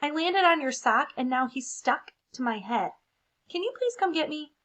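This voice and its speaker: Woman as storybook crayon, exasperated, sarcastic, high bright voice, swooping pitch. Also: expressive, normal speed. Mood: exasperated, wry. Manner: sarcastic, complaining. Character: exasperated turquoise crayon stuck to a smelly sock.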